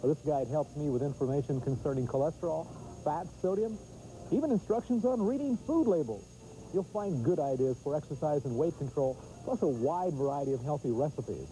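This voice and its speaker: Soft dark-voiced guy doing a health ad, bit of hiss. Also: a little high, animated, a little fast, rough recording. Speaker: commercial spokesman. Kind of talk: scripted ad read.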